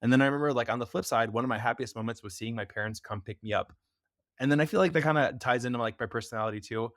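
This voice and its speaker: Fast-talking young American man, dry mic. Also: medium pitch, dry recording. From United States. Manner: fast, loose talk with many fillers. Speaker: podcast guest, preventative health expert. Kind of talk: casual interview chat.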